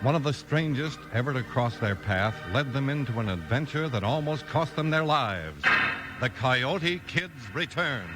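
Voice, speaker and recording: A man's voice: mid-range voice, expressive, unhurried, over loud music. An enthusiastic American man announcing in a punchy 1950s radio style. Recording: noisy old radio transcription with clicks.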